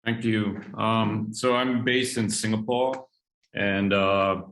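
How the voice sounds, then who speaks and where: A man's voice: lowish voice, fairly even intonation, slow, fairly bright tone. A man introducing himself on a video call, earnest and enthusiastic. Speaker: activist and book club member.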